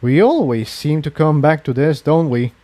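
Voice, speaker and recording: A man plays a male pony adventurer in a fantasy story. He sounds gentle and sure of himself, a little lifted in pitch, with a clean, very dry sound and a softly bright-free, mellow tone. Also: expressive, moderate pace, no room sound, close to an everyday voice. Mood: calm.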